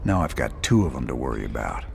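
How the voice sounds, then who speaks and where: An actor playing a gruff, cynical rebel in a video game, with a gravelly drawl. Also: male voice, a bass voice, big pitch swings, fast talker, very noisy, exaggerated voice. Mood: wry, bitter. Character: gruff, cynical male rebel sneering at the news. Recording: loud music behind the voice.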